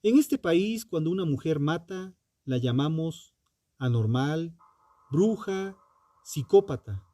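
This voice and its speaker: A man narrating a scripted true crime podcast in a dramatic, solemn and deliberate manner, with ominous rhetorical questions. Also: on the high side, animated, mellow, no room sound.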